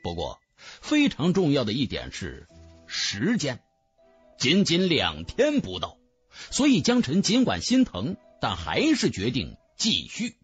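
A man's voice: mid-range voice, huge pitch swings, a little slow, husky, highs cut off, faint music behind. A man narrating a fantasy audiobook in a dramatic, scripted storytelling style.

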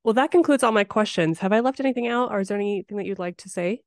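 A woman's voice: medium pitch, fast talker, no room sound, clean studio sound. A woman hosting a podcast, speaking in an informal, chatty interview style. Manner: informal, conversational. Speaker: podcast host. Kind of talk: casual interview chat.

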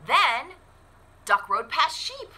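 A woman in her forties reading a picture book aloud to children in an expressive, deliberate storytelling way. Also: high voice. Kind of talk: animated children's read-aloud.

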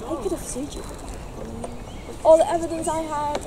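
A young woman acting a hurt, defensive former songwriting partner in a live play, speaking haltingly and emotionally. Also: high-pitched. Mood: angry, defensive. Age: young adult. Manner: halting, emotional, repeating herself. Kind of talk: live theatre dialogue. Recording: very noisy outdoor location recording.

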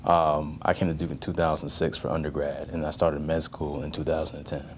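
A man's voice: a bass voice, little pitch movement, a little fast, a little hiss or hum. A young man in his twenties, a medical student, talking casually in a documentary interview with lots of "you know". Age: 20s.

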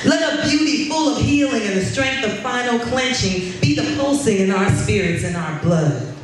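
A woman poet in her thirties reciting at a live reading in a measured, emphatic, incantatory cadence. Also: on the high side, animated. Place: indoor gallery space with seated audience. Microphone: stand microphone, recorded from camera at a distance. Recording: echoey room, distant mic, background noise.

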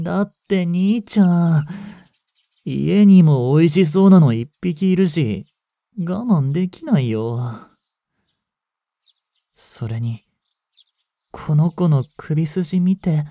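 An actor playing a soft-spoken younger vampire brother in a Japanese romance voice drama, teasing and coaxing with polite menace as he hungers for blood. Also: high-pitched, animated, takes it slowly, gravelly, long pauses, no room sound, studio quality, exaggerated voice. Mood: whining, pleading.

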